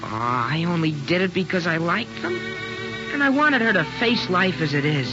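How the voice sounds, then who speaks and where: A man's voice: a little high, expressive, normal speed, over music, lightly acted. An actor playing an earnest, dramatic sixteen-year-old American boy, impassioned and boyishly pompous, in old radio drama style. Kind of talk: 1950s radio drama acting. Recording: muffled, noisy old radio broadcast.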